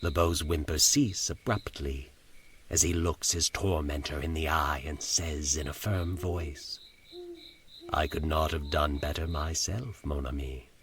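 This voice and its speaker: A man's voice: extremely low pitch, fairly even intonation, measured pace, a bit bright, pitched below the performer's own voice, heavily acted. A man voicing a sly, sadistic cloaked stranger in a horror story, speaking in a taunting, dreamy, commanding manner. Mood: savoring, intense. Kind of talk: horror audio story dialogue.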